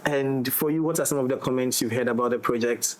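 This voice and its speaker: A man with a Ghanaian accent leads an informal roundtable conversation. His pitch is medium, and he often repeats words and phrases to stress his point. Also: fairly even intonation, fast talker, slight background noise. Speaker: director, discussion host. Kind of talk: casual roundtable chat.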